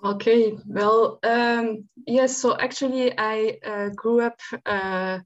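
A woman's voice: a little high, fairly even intonation, slow, lo-fi sound. A woman from Belgium, a psychiatrist answering interview questions thoughtfully, with many ums and restarts. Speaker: psychiatrist guest.

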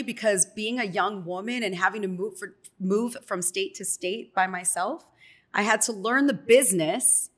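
Chatty woman telling stories. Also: medium pitch. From Chicago, USA. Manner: lively storytelling, slangy, many fillers. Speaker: radio personality, guest. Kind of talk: casual interview talk.

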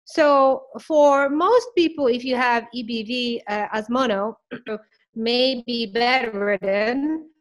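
A woman with a fairly high, slightly scratchy voice speaks as a podcast guest. She explains patiently, with some hesitations, and clears her throat now and then. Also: slow. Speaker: guest expert. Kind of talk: podcast interview.

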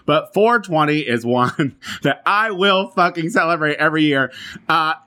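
Giggly podcast guy, kinda high voice, bright and sharp. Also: a little slow. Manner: giggly, laughs often, crude jokes. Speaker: podcast co-host. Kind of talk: casual comedic chat.

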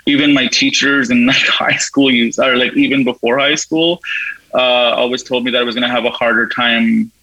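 A man in his thirties from Canada talks eagerly as an interview guest, full of "like" and quick laughs. His voice is bright and forceful, and it sounds dry and close. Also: mid-range voice, steady tone, no room sound, lo-fi sound. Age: 30s. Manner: eager, chatty, laughs often, lots of "like". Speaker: guest, writer and producer. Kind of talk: casual interview answers.

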